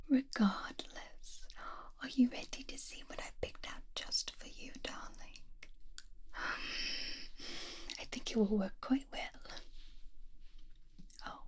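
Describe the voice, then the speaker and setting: Whispered ASMR role: obsessive female mad scientist, played by a woman, fussy, possessive, cooing, unhurried. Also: fairly high pitch. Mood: cooing, gleeful. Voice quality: soft whisper. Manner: cooing, gently scolding, slow and breathy. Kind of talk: whispered ASMR fantasy roleplay.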